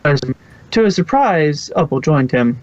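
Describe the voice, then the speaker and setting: A man's voice: a little high, lively intonation, slow pace, dry recording. A man narrating a fan fiction story aloud as an amateur reader, in storybook style. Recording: dull, lo-fi home microphone.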